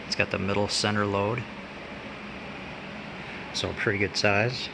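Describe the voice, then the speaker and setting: A man giving a casual, unscripted hands-on gadget demonstration, halting and pausing as he handles things. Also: fairly low pitch, gravelly. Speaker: product reviewer. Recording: steady background hum and noise.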